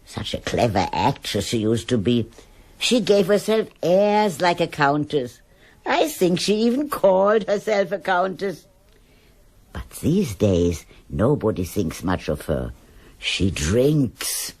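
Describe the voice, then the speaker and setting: An old woman in a radio drama, stubborn and quick to judge. Her husky, voice moves slowly but swings widely in pitch, halting as she searches for words. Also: a little mellow, full character voice. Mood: scornful. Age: older. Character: stubborn, defensive old German woman, gossipy neighbour.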